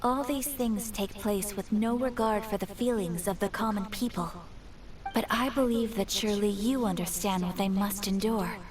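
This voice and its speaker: A woman playing a gracious, earnest young noblewoman in a game, speaking in a formal, measured and refined way. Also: mid-range voice, steady tone, distant mic, a crowd in the background, close to an everyday voice. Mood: grave, earnest. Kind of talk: scripted game dialogue.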